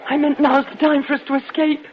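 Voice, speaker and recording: An actress playing a frightened, anxious wife who pleads and accuses in an old-time radio thriller. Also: female voice, high voice, level tone, fast talker, lightly acted. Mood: fearful, accusing. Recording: muffled, faintly noisy old radio recording.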